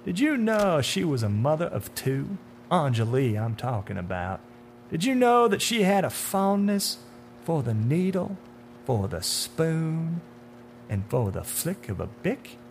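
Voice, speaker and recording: A man playing a menacing, taunting otherworldly man in a dark suit in a horror story, with theatrical, deliberate, sneering questions. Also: on the high side, big pitch swings, voice pushed up. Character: menacing, taunting dark-suited man, smooth-talking otherworldly bringer of death. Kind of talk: dramatic horror audiobook acting. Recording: audible hum behind the voice.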